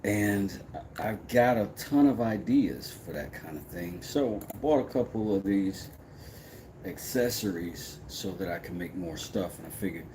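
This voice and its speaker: A man with a very low voice, hosting a casual live stream, trash-talking his critics and rambling about woodworking. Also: background noise. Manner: brash, trash-talking, then rambling and relaxed.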